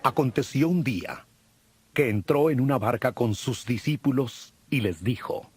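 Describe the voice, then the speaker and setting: A man narrating a dramatized audio Bible in a solemn, measured storytelling manner. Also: medium pitch, expressive, a little mellow, breathy edge. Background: music.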